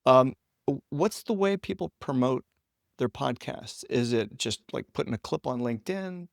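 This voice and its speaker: A man's voice: medium pitch, lively intonation, moderate pace, creaky, no room sound, studio quality. A man hosting a conversational interview podcast, talking informally as he sets up questions.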